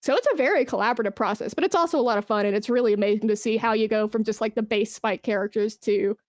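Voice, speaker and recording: Enthusiastic woman, higher voice, dry recording. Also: very fast, dry recording. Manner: enthusiastic, informal. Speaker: interview guest, esports brand manager. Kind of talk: casual interview chat.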